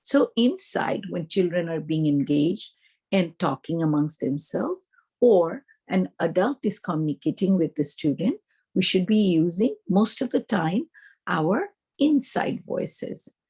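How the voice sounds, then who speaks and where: A woman's voice: on the low side, lively intonation, a little slow, mellow, husky. A woman with an Indian accent giving an instructional presentation to teachers, explaining step by step and posing questions that she answers herself. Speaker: teacher trainer.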